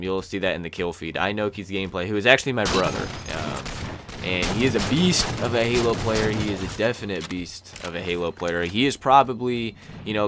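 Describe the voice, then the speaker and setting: A young man hosting a gaming channel, rambling through gameplay commentary in a slightly scratchy, raspy voice with plenty of "um"s and "you know"s. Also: deep voice. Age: young adult. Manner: rambling, lots of "um" and "you know", thinking aloud. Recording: voice over loud gunfire from gameplay. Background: gameplay gunfire and explosions.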